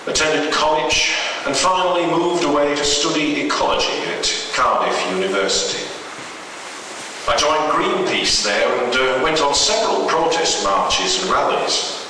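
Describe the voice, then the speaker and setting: A man telling a horror story in the first person with dramatic narration. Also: a little high. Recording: echoey hall, far from mic, faint noise.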